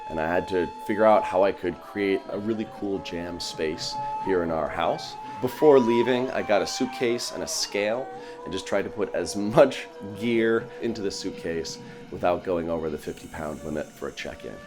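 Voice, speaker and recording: A man, a music professor, telling a relaxed story in an interview. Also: deep voice, moderate pace. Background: faint music.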